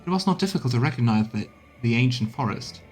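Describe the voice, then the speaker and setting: A man's voice: medium pitch, lively intonation, quick pace, darkish tone, faint music behind, natural voice. A man reading game dialogue aloud as an earnest, determined cleric who reports to a commander with emphatic phrasing. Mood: solemn.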